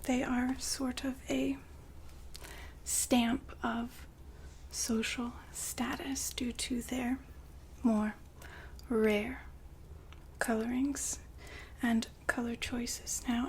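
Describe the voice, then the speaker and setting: A woman playing the poised, businesslike madam of a futuristic establishment in an ASMR roleplay, whispering softly and formally to a client. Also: on the high side, slight background noise. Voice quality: soft, breathy whisper. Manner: polite, formal, unhurried saleswoman patter.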